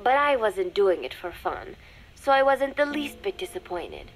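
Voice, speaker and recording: A woman voicing a young undercover spy in a video game, dictating a private diary in a measured, confiding, dryly scornful way. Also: medium pitch, big pitch swings, a little hiss or hum, low-quality recording. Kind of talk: scripted dramatic diary narration for a game.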